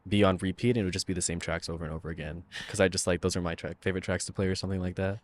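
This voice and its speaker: A man's voice: low-pitched, little pitch movement, racing, slight background noise. A man in his twenties co-hosting a podcast, chatting in an easygoing way with a lot of "like". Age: 20s. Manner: chatty, easygoing, many fillers. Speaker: podcast co-host. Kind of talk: casual chat.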